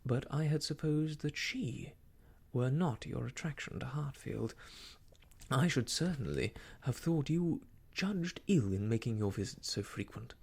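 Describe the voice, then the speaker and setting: A man voicing an indignant, proper young gentlewoman in a classic novel audiobook, formal, emphatic and reproving. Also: mid-range voice, expressive, measured pace, vocal fry, husky, exaggerated voice.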